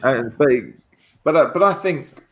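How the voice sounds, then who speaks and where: A man's voice: on the high side, a bit bright. Hesitant man in casual discussion. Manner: halting, repeats words while searching for phrasing. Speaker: guest discussing a political transcript. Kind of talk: casual conversational political discussion.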